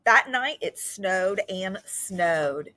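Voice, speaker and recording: A woman teacher reading a picture book aloud to her class in an expressive, sing-song way. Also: medium pitch, extremely slow, slight background noise. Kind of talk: storybook read-aloud for children.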